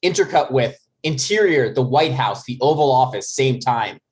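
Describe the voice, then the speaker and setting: A man's voice: mid-range voice, animated, moderate pace. A man narrating stage directions at a table read of a comedy script.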